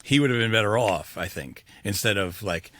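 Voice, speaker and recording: Bright-voiced man, close and dry. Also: fairly low pitch, expressive, moderate pace, no room sound. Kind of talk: casual film discussion.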